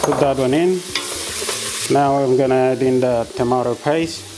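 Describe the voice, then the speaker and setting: A man from South Sudan with a South Sudanese accent, demonstrating a recipe in his kitchen and explaining each step in an unhurried way, with many hesitant pauses. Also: medium pitch, steady tone, noisy background. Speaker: home cook. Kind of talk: cooking demonstration.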